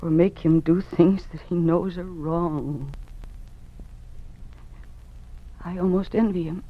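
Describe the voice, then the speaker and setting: An actress playing an earnest, idealistic woman schoolteacher in an old-time radio drama, speaking with deliberate, dramatic feeling. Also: fairly low pitch, steady tone, lightly acted. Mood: resolute, sorrowful. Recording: dull, band-limited old radio recording.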